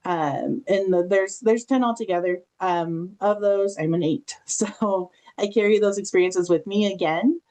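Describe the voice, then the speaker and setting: An American woman presenting an informal online training, speaking in a halting, reflective, conversational way with personal anecdotes. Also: mid-range voice, dark tone. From Southern California, United States. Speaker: family engagement specialist presenting a training session.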